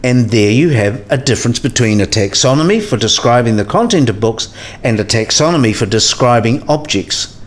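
A man's voice: mid-range voice, expressive, moderate pace, natural voice. A man playing a learned professor in a radio play, explaining patiently and precisely and asking probing questions. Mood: didactic, patient.

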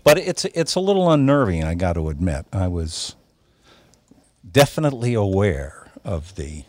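A man co-hosting a podcast, telling stories in casual, somewhat halting conversation. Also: low voice, sing-song, darkish tone. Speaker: radio show podcast co-host.

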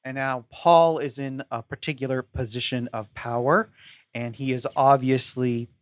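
A man guesting on a podcast, discussing a book casually in a measured, analytical way. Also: mid-range voice, steady tone, no room sound.

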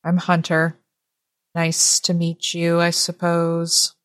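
A woman's voice: fairly low pitch, monotone, slow, fairly bright tone, no room sound. A sulky teenage boy acted out by a woman in a tabletop game, close and dry in sound. He drags out his curt answers with reluctance and makes his bitterness about his family plain. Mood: sullen, reluctant.

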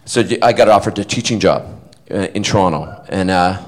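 A man's voice: low-pitched, brisk. A man, an actor, telling rambling stories to a live audience at a fan panel. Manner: animated, rambling, self-interrupting. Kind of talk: casual storytelling to an audience.

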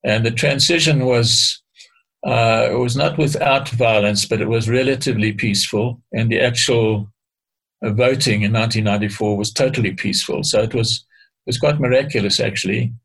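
An older man from Africa, explaining history at a measured pace with frequent hesitations and restarts as an interview guest. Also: medium pitch, vocal fry, fairly bright tone, breathy edge, low-quality recording.

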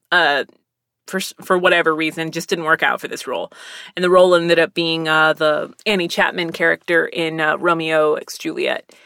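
Woman in her thirties, bright slightly low voice, animated storytelling, dry close studio sound. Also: dry recording. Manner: animated storytelling with laughs and acted-out quotes; candid and self-deprecating. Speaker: voice actor. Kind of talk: casual chat. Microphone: studio microphone with headphones.

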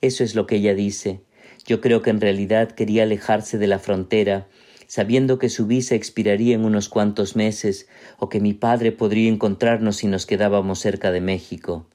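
A man's voice: on the low side, fairly even intonation, moderate pace, warm tone, lightly acted. Teenage girl narrator, read by a man. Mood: reflective. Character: teenage girl narrating her own past. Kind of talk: read-aloud short story.

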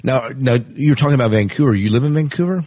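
Husky American man, lively intonation. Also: medium pitch, fast, no room sound. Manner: informal, rambling. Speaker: podcast host, interviewer. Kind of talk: casual interview chat.

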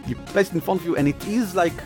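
A man with an Israeli accent explaining and narrating as game master in a halting, casual, enthusiastic way. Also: sits high, over music. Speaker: game master, game designer and writer.

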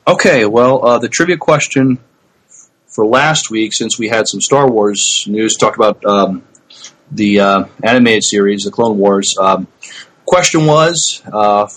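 A middle-aged man co-hosting a podcast, chatting casually with a hesitant, halting delivery. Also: fairly low pitch, fairly bright tone, rough recording. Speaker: podcast co-host.

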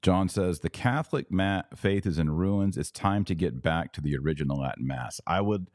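A man's voice: low-pitched, lively intonation, normal speed, darkish tone, no room sound, studio quality. A man hosting a live podcast, reading viewer comments and giving emphatic, opinionated commentary with rhetorical questions. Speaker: podcast host.

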